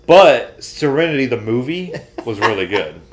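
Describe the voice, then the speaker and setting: A man's voice: mid-range voice, big pitch swings, normal speed, background noise. A man co-hosting a podcast, chatting casually and giving emphatic opinions about pop culture. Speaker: podcast co-host.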